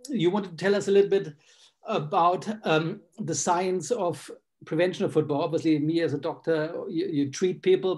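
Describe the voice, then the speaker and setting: A man's voice: on the high side, warm tone. A man in his forties who hosts an online interview as a doctor, speaking with lots of filler ums. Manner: friendly, many filler ums. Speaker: host, doctor. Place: small indoor room. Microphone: video call webcam.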